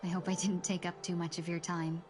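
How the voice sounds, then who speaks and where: A woman playing a polite, sly young fox-woman merchant in a game, speaking formally and measuredly with gentle playfulness. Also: on the low side, flat delivery, a little fast, close to an everyday voice. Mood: gracious, earnest. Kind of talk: scripted game dialogue. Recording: prominent music behind the voice.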